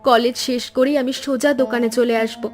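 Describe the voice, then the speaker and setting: Woman as high-voiced, dutiful young granddaughter. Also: steady tone, fairly quick, lightly acted. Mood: earnest. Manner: earnest, solicitous. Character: dutiful, caring college-age granddaughter. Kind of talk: animated storybook cartoon dialogue. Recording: music bed under the voice.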